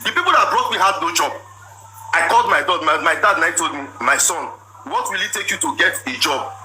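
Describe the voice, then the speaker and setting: Loud Nigerian man, high-pitched, insistent. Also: animated, brisk. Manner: loud, forceful, repetitive storytelling. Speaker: husband telling his side. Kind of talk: emotional personal account. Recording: noisy background.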